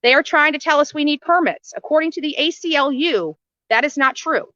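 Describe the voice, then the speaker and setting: A woman's voice: high voice, fairly quick, sharp tone, no room sound, muffled recording. A woman reporting live to her viewers outdoors as an independent commentator, speaking in an indignant, blunt and emphatic way and repeating phrases for emphasis. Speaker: independent livestream reporter, host of own show. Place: outdoors at a roadside in front of a house.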